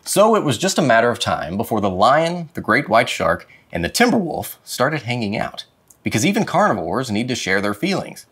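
A man reading a children's picture book aloud with dramatic, expressive phrasing. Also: medium pitch, moderate pace, husky. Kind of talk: expressive storybook read-aloud. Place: indoor studio with plain dark backdrop.

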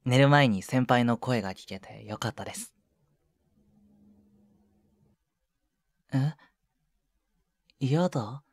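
Man playing a slow, soft-spoken shy younger boyfriend. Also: mid-range voice, no room sound. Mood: tender, gently firm. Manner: soft, hesitant, breathy murmurs, casually polite. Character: shy younger junior boyfriend, awkward at showing affection. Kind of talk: intimate ASMR situation voice drama, one side of a phone call. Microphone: close, dry microphone.

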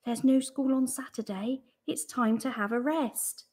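A woman voicing a kindly, cheerful young girl in a storybook, gently reassuring. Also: sits high, measured pace. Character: Dotty, kindly, cheerful young female friend.

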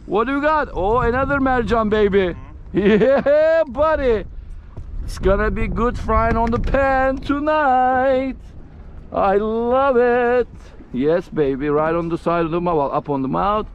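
A middle-aged man hosting a fishing vlog from a small boat at sea, cheering loudly over each fish he catches. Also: very high voice, a little slow, muffled recording. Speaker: fishing vlogger host. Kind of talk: casual fishing vlog commentary. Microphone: action camera on the boat. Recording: outdoor wind with music bed.

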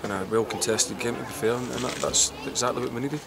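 A middle-aged man, a football manager giving a post-match interview outdoors, halting and repeating words as he thinks. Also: medium pitch, steady tone. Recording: loud outdoor background noise.

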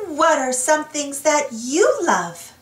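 A woman reading a children's storybook aloud in an expressive, singsong way. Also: high-pitched, takes it slowly. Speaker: storybook reader, channel host. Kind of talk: children's read-aloud narration. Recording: roomy, distant mic, soft music behind.

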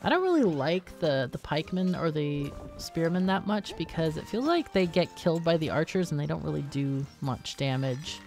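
Low voiced lady doing casual game commentary, bit of fry, thinking out loud, music behind. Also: expressive, normal speed, few pauses. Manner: chatty, thinking aloud. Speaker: gaming host.

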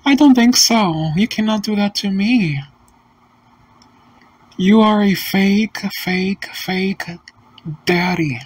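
A sassy young boy gamer talks back in a slow, stiff, mocking cartoon delivery, voiced by a synthetic text-to-speech voice. The pitch sits in the middle, and the pace is very slow and deliberate. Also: no room sound. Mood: defiant.

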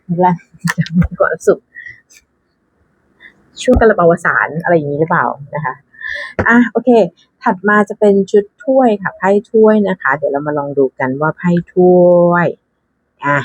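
A woman talks casually through an unboxing. Her voice is fairly low and husky, and she takes her time, thinking aloud with little exclamations and English terms mixed in. The sound is dry. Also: moderate pace, no echo, dull audio. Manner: mixes English card names into her talk. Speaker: tarot deck reviewer.

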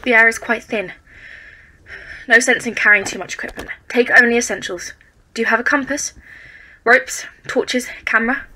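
A woman playing a grave, resolute professor in an audio drama, narrating in formal, measured, literary speech. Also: on the high side, sharp tone, slight background noise, poor audio. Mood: brisk, practical. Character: grave female professor leading an Antarctic expedition, haunted and resolute. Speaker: narrator and lead in an audio play. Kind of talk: dramatic scripted narration and dialogue.

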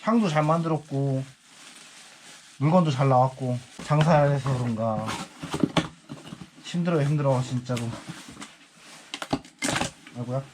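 A man's voice: mid-range voice, a little slow, long pauses, noisy background. A man in his forties chatting casually while packing parcels for his small online shop, musing aloud and sighing now and then. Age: 40s. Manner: rambling, musing aloud, lots of sighing asides. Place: indoor work space packing parcels.

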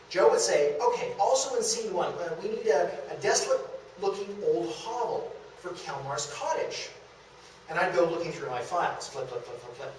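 A man, seemingly in middle age, giving a storytelling history talk to slides, acting out bits of conversation. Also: a little high. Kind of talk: public lecture with slides, storytelling. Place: small indoor lecture room at a historic house. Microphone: camera a few metres away. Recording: distant camera mic, very echoey room, faint noise.

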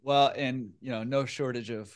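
Male host, 30s, relaxed and affable, headset mic, casual banter. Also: mid-range voice, normal speed. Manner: easygoing, laughs along, short affirmations. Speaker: podcast host. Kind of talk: casual interview chat. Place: room with bookshelf. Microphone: headset, video call.

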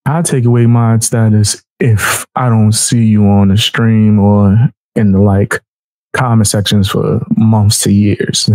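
A man gives casual reaction commentary as a show host. His voice is mellow and slightly husky, with a dry, close sound. He talks in slang and with a mocking edge. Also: on the low side, no room sound, poor audio.

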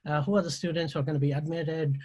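A man talks through slides on a video call in a slightly high voice. He stops often on fillers and restarts his phrases as he goes. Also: fairly even intonation, darkish tone, husky. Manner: halting, many "uh" fillers and restarts. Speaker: university tech presenter. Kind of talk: conference slide presentation.

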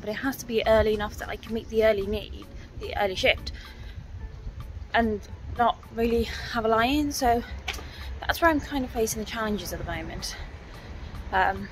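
A woman's voice: fairly high pitch, moderate pace, a little hiss or hum. A woman, chatting casually through a walking vlog, rambling with lots of "so" and "um", filmed on a handheld phone. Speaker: vlogger. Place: outdoors on town streets and indoors at home. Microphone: phone held at arm's length.

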